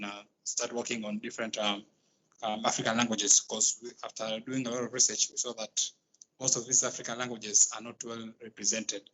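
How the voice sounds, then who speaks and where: Tanzanian male founder, monotone, halting delivery, faint background hiss. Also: on the low side, poor audio. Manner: steady, halting with fillers. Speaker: startup co-founder and CEO, panelist. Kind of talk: self-introduction on a panel.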